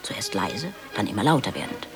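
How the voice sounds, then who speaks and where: A man's voice: on the low side, very animated, normal speed, fairly bright tone, soft background music. A man playing a matter-of-fact narrator who reads stage directions in a radio play.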